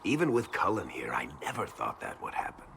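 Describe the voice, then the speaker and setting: A very deep, wry adventurer. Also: male voice, huge pitch swings, brisk, creaky, fairly bright tone, a little hiss or hum, full character voice. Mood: wry, troubled. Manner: wry, casual, world-weary. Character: wry, worldly, plain-spoken male fantasy adventurer. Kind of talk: casual dramatic game dialogue.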